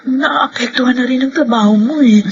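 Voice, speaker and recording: A woman's voice: high voice, moderate pace, slightly rough. An actress playing a struggling young wife and mother in a radio drama, speaking earnestly and politely. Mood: worried. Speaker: lead character. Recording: dull lo-fi radio with faint noise.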